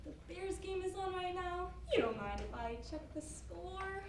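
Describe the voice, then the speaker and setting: A woman's voice: very high voice, lively intonation, normal speed. A woman acting an eager, apologetic young date in an amateur stage comedy. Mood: apologetic, chatty. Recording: noisy, echoey stage recording from far off.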